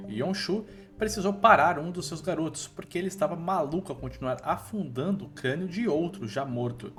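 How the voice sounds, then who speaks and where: A man's voice: on the high side, fairly bright tone. A man with a Brazilian accent reading a scripted story recap in an informal, slangy, playful storytelling style. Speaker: narrator of comic recap videos.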